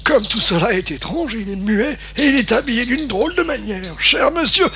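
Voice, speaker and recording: Dotty old professor, high-pitched and creaky. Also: male voice, animated, moderate pace, noisy background. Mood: flustered, polite. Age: older. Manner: stammering, fussy, exclamatory. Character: dotty, polite old explorer professor, easily flustered. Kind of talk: French cartoon dub.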